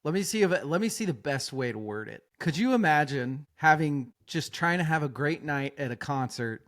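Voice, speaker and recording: A man hosting a podcast in casual chat, animated and jokey, swearing often. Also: on the high side, normal speed. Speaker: podcast host.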